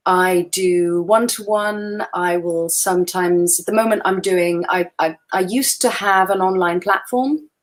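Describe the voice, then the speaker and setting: A woman's voice: fairly low pitch, steady tone, a little slow, hardly any pauses. A woman in her forties, a guest photographer chatting casually on a video call in a friendly, self-deprecating way, sounding a little tired. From Wales. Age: 40s. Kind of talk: casual webinar chat.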